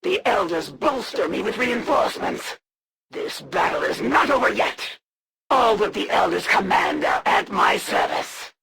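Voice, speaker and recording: Menacing alien assassin, gravelly creak. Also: female voice, a little high, big pitch swings, a bit bright, slight background noise, heavily acted. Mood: proud, commanding. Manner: formal, theatrical, deliberate taunting delivery. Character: proud menacing female alien assassin, cold and wrathful. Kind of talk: video game villain voice lines.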